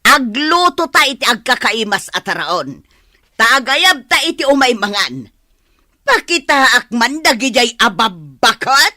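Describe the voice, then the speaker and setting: A proud older village mother, acted by a woman in a radio comedy drama. She is stubborn and huffy, with a bright, fairly high voice that swings up and down as she nurses her grudge. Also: sing-song, a little slow, dry recording, studio quality, full character voice. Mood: aggrieved, scheming.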